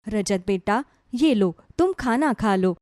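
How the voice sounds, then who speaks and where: A woman's voice: mid-range voice, lively intonation, slow pace, darkish tone, no room sound, studio quality. A woman voicing a worried, devoted village wife and mother in a cartoon story, with a pleading, concerned manner. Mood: coaxing.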